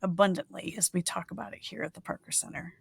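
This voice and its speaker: A woman's voice: fairly low pitch, steady tone, fast talker, creaky, husky, dry recording. Upbeat woman host in her sixties, dry sound. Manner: upbeat, encouraging. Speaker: podcast host. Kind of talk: interview host asking set questions. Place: home room with pictures on the wall. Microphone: video call.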